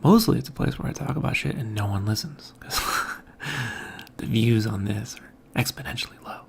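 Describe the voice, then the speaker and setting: A man hosting his own podcast, talking casually and softly in a quiet, mumbling way from a home room with an overhead mic. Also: mid-range voice, normal speed, gravelly, slightly rough, in a whisper. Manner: quiet, soft-spoken, mumbling. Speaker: podcast host. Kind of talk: casual morning monologue. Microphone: hanging overhead mic.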